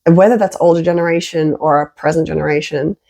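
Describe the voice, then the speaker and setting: Female therapist in candid podcast chat; gravelly, soft-edged tone, croaky with a flu, expressive delivery. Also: deep voice, quick pace. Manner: expressive, emphatic, acts out quoted phrases. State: has a flu. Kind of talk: candid conversational podcast talk.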